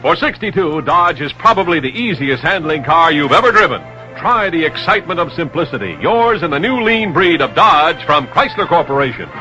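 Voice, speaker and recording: A man's voice: a little high, big pitch swings, brisk, sharp tone, faint music behind. A man reading a scripted 1960s radio car commercial with an emphatic, punchy sales delivery. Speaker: commercial announcer. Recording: dull vintage radio recording.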